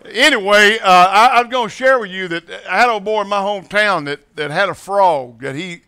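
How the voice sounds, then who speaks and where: A man from Mississippi with a Southern accent preaching as a guest. He tells folksy joke stories to a congregation, halting and repeating words and chuckling often. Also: high-pitched, pushed and bright, smooth. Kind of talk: folksy storytelling sermon with jokes. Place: church sanctuary with congregation.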